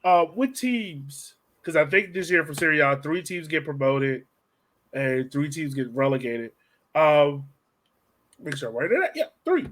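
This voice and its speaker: Lively, higher-voiced male podcast host. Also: a little slow. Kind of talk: casual sports interview.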